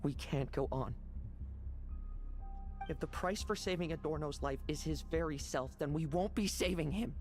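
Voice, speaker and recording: An actor playing a stern, blunt young fighter in a fantasy game, cool, cutting and controlled. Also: male voice, fairly high pitch, moderate pace, long pauses, darkish tone, faint music behind, close to an everyday voice. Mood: stern, reproachful. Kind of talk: dramatic video game dialogue.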